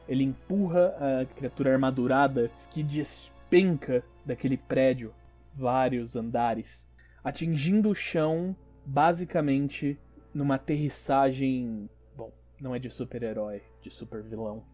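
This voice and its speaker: Mellow-voiced Brazilian man narrating over music. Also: mid-range voice, unhurried. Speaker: game master. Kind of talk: narrating a tabletop RPG audio drama. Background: background music.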